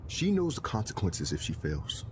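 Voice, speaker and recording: A man playing a demanding spy agency commander in an audio drama, with formal, measured wording. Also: lowish voice, huge pitch swings, background noise. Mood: stern, challenging.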